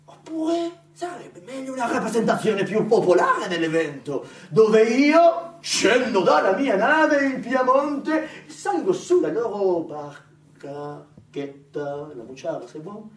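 A vain old-world general in a stage play, pompous and grandiose. His high voice swoops widely as he declaims, caught from afar in an echoey theatre. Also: sing-song, takes it slowly, exaggerated voice. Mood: grandiose, scheming. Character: vain, pompous 19th-century general staging his own legend. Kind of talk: live stage monologue theatre. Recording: echoey theatre, distant mic, noisy.

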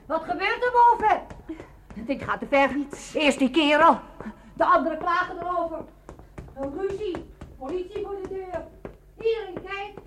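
An indignant woman of the house in a radio play. Her voice is extremely high, and she complains in clipped, scolding phrases. The recording is clearly noisy. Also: lively intonation, normal speed. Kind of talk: radio drama acting.

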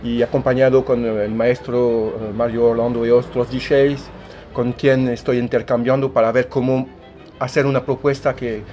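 A man's voice: medium pitch, little pitch movement, moderate pace, warm tone, soft background music. A man from France with a French accent, a tango DJ answering radio interview questions in a hesitant way, repeating words often. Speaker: tango DJ and milonga organizer.

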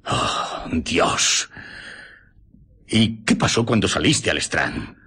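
A man with a Castilian Spanish accent playing a sober, disapproving listener in a radio drama, asking short, measured questions. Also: low voice, sing-song, vocal fry, sharp tone, husky, heavily acted. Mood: appalled. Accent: Spain.

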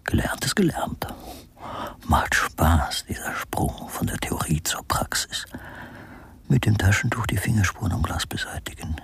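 Radio crime drama: controlled murderer, mocking, methodical, insinuating murmur, very low creaky register. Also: male voice, animated, a bit bright, whispered, heavily acted. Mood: murmured, calculating. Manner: deliberate, insinuating, often dropping to a murmur. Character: coldly methodical murderer, American writer husband, mocking and controlled. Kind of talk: German radio crime drama acting with interior monologue.

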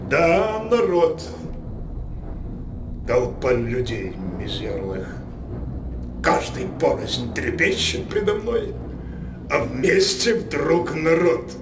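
An actor playing an imperious, sardonic old king in verse radio drama, declaiming with mock courtesy. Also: medium pitch. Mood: probing. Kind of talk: classical verse stage drama. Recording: roomy, distant stage radio-play recording.

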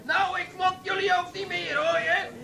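A man's voice: very high voice, level tone, moderate pace, vocal fry, crowd noise, noisy background. A man playing a stiffly formal, put-upon café keeper with a pointed, theatrical manner in a comic radio play. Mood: hysterical rage.

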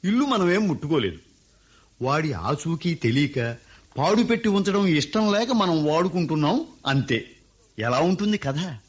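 An actor playing a scheming landowner who plots persuasively over family land. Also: male voice, fairly high pitch, big pitch swings, normal speed, slightly rough. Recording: dull lo-fi radio recording.

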